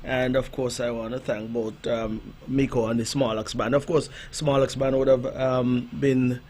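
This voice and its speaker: A man's voice: mid-range voice, little pitch movement, normal speed, darkish tone. A man hosting a live radio show on a headset mic, chatty and laughing, with fillers and restarts. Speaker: radio host. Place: radio studio.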